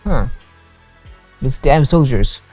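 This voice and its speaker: A man's voice: medium pitch, expressive, slow pace, darkish tone, no room sound, faint music behind. A man streaming a game, reading its dialogue aloud and reacting to it.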